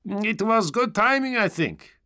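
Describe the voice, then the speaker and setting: A man's voice: sits high, lively intonation, unhurried, bright, forceful tone, clear, resonant tone, voice pushed up, full character voice. A man playing a cheerful, chatty foreign soldier in an audiobook, polite and eager, with non-native phrasing. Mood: polite, upbeat. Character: cheerful, chatty, optimistic young male foreign stormtrooper.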